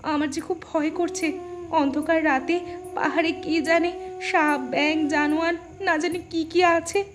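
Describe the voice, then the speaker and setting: A woman's voice: very high-pitched, steady tone, measured pace, pitched above the performer's own voice. A woman voicing a timid, fretful young village daughter-in-law in a folk tale, plaintive and anxious. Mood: frightened. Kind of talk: Bengali folk tale dramatization.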